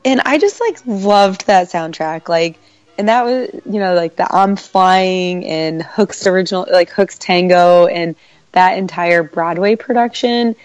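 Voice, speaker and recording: A congested woman with a lower-pitched voice, talking casually as a podcast co-host. Her speech is chatty, with frequent laughs and fillers. The recording is dry and close. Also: measured pace, darkish tone, dry recording. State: congested from a cold. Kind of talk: casual chat.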